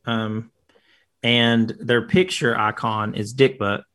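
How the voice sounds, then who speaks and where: A man's voice: medium pitch, fairly even intonation, slow pace, a bit bright. A man co-hosting a casual review podcast, hesitant with many restarts and dry jokes. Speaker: podcast co-host.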